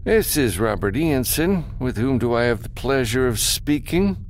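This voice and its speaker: A man voicing a smooth, aloof criminal businessman in an audiobook, formal and condescending. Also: mid-range voice, expressive, normal speed, slight background noise, voice pushed up, close to an everyday voice. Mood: cool, polite. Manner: formal, coolly polite, condescending.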